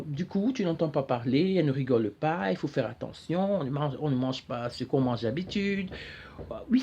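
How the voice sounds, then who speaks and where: Halting woman hosting a show. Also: low-pitched, mellow, slightly rough, highs cut off. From Cameroon. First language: English. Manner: halting, repeats words while searching for them. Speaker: talk show host. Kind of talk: solo monologue to camera.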